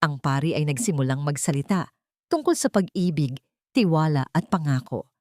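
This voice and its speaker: A woman's voice: sits low, expressive, normal speed, a little mellow, no echo, studio quality. A woman with a Filipino accent narrating a scripted romantic drama story.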